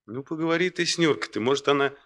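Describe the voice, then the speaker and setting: A man's voice: fairly high pitch, quick pace, a bit bright, close to an everyday voice. A man acting in a 1960s radio play as a brash young worker, a cheeky, needling womanizer. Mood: urgent coaxing.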